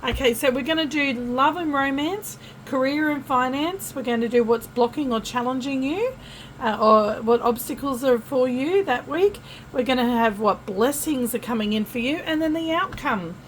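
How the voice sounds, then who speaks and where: An Australian woman giving a casual tarot reading to camera, chatty and friendly, often pausing with fillers and restarting. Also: sits high, a little hiss or hum, poor audio. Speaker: tarot reader.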